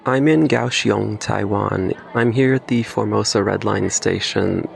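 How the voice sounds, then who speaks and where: A man's voice: fairly low pitch, measured pace, vocal fry. A man giving descriptive scene-setting narration as a field correspondent, recorded in a noisy, crowded subway station.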